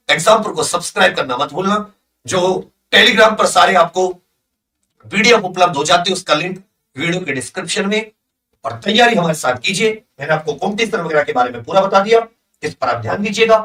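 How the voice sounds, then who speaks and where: Male exam coach, sharp bright delivery, slightly off-mic, motivational and emphatic. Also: sits high, animated, a little fast, distant mic, low-quality recording. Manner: emphatic, motivational, repeats phrases for stress. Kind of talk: energetic exam-prep announcement to students.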